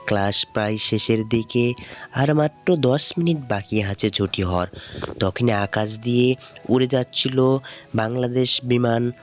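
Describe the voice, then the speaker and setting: A man's voice: medium pitch, measured pace. A man with a Bangladeshi accent reading scripted narration for a cartoon story. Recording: music under the voice. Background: background music.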